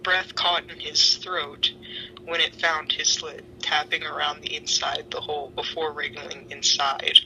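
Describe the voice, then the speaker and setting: A woman's voice: low voice, flat delivery, measured pace, sharp tone, slight background noise, rough recording. A young woman reading fan fiction dramatically and chatting in slang with friends.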